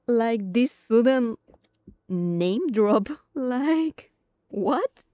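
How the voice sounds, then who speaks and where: A woman giving casual commentary on a game playthrough, thinking aloud in a halting way. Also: a little high, expressive, dark tone, no room sound, studio quality. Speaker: gaming streamer. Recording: game music behind the voice.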